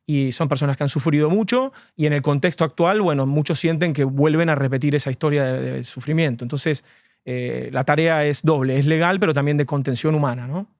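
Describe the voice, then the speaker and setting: A man's voice: on the high side, brisk, dry recording, clean studio sound. A man, an immigration lawyer, answering interview questions earnestly and at length, with frequent fillers.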